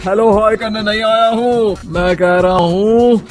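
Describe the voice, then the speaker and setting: Performer playing monkey warrior god, mid pitch, bold, sarcastic, theatrical cartoon delivery, music behind. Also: steady tone, a little slow, natural voice. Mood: stern, scolding. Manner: taunting, theatrical. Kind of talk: Hindi cartoon comedy mythological dialogue.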